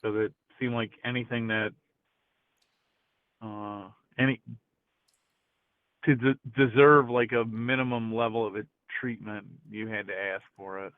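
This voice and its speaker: A man's voice: fairly low pitch, measured pace, pauses often, clean tone, no echo. A man calling in, chatting casually and hesitantly, with many restarts. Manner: hesitant, full of restarts, animated when surprised. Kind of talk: casual chat. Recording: tinny phone line.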